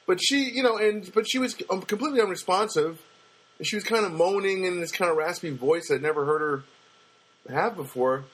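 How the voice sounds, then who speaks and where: A man with a booming voice telling a personal story in a casual monologue, halting often with fillers and restarts. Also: fairly bright tone, breathy edge, low-quality recording. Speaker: storyteller recounting a personal loss.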